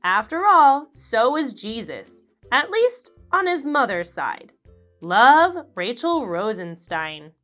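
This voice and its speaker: A woman's voice: fairly high pitch, big pitch swings, slow pace, fairly bright tone, soft background music, exaggerated voice. A woman voicing a hopeful, earnest young girl in a storybook reading. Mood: pleading.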